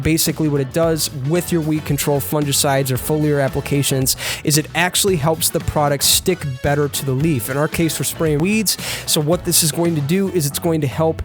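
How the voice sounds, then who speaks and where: Chatty man with a slightly high voice. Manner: chatty, addresses viewers as "you guys". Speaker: lawn care YouTuber. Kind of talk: upbeat how-to tutorial.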